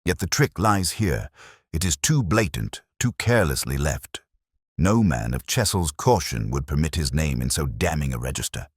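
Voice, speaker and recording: A man voicing a keen, commanding detective in an audiobook, speaking in formal, deliberate phrases. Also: extremely low pitch, lively intonation, slightly rough, no room sound, studio quality, full character voice. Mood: analytical. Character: male master detective, keen, commanding and coolly analytical.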